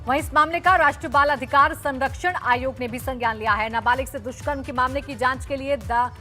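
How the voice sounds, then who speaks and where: A woman's voice: high voice, a little fast, bright, forceful tone. A woman reading television news as an anchor in a scripted broadcast style. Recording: music bed behind the voice.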